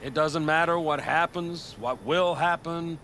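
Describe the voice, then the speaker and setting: An actor playing an older nomad clan leader in a video game, blunt and proud, with a folksy, speechifying manner. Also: male voice, a little high, measured pace, a bit bright, slight background noise. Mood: solemn conviction.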